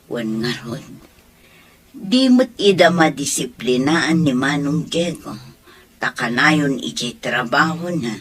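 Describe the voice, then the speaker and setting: A woman voicing a caring village neighbor who talks in a slow, homely way. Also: very deep voice, lively intonation, exaggerated voice. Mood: concerned.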